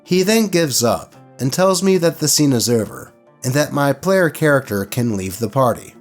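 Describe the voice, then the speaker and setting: A man hosts a story-reading show with an expressive, theatrical delivery. His pitch swings freely as he reads out posts and adds his own asides. Soft music plays behind his narration. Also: normal speed. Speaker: host narrator of online tabletop horror stories.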